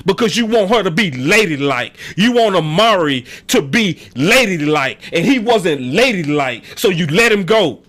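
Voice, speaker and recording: A hoarse, raspy-voiced man hosting a sports call-in show, talking in an emphatic, slangy, animated way. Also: high voice, normal speed, hardly any pauses. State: hoarse, voice going in and out. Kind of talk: passionate sports commentary to callers and viewers.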